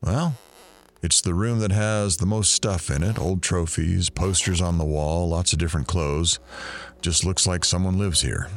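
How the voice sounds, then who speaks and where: An actor playing a dry, sardonic male investigator in an audio drama, with a wry, unhurried, matter-of-fact way of talking. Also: low voice, fairly even intonation.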